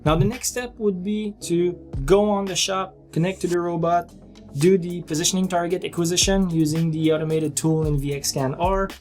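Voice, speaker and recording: A man giving an informal, step-by-step explanatory narration as a software demonstrator. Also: high-pitched, steady tone, normal speed, faint music behind.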